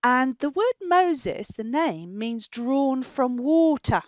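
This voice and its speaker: British woman, children's storyteller, higher-pitched, animated questioning, stretched key words, dull dry recording. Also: takes it slowly, no echo. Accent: British English. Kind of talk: storytelling for young children, chatting with a puppet.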